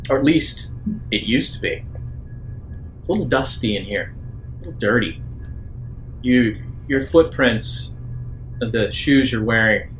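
A man working as game master, narrating a roleplaying game haltingly in short phrases with many pauses. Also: medium pitch, a bit bright, rough recording.

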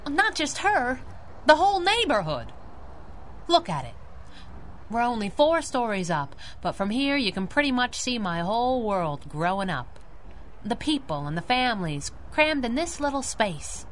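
An actress playing a feisty, protective young crimefighting sidekick with slangy, wisecracking tough talk in a radio drama. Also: female voice, a little high, big pitch swings, unhurried, a little hiss or hum. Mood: earnest, heartfelt. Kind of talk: old-time radio drama acting.

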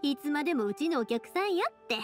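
A woman's voice: sits high, expressive, fast talker. A woman playing a spirited, caring young fireworks maker in a game, speaking reassuringly with a Kansai accent. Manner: reassuring, friendly, earnest. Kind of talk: video game voice acting. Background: background music.

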